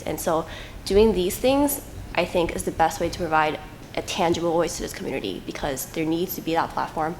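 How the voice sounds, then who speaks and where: A woman's voice: low-pitched, reverberant, a little hiss or hum, low-quality recording. A young woman, a student, giving earnest, thoughtful interview answers and building her points step by step. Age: young adult. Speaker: scholarship finalist.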